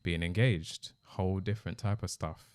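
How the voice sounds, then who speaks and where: A man's voice: deep voice, steady tone, normal speed, darkish tone, clear, resonant tone, no room sound. A young man chatting casually as a podcast guest. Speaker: podcast guest, host's fiancé. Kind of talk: casual chat.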